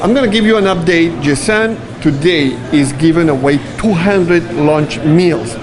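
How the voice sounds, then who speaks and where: A man's voice: a little high, normal speed. A man hosting a show, interviewing guests on location and often repeating his words. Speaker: show host. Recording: noisy crowd around.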